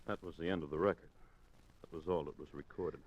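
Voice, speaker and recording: An actor playing a slick, scheming ex-convict husband with false tenderness, in old-time radio suspense style. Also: male voice, rumbling low, fairly even intonation, quick pace, darkish tone, breathy edge. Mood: soft, placating. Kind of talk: 1950s radio suspense acting. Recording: muffled old radio recording.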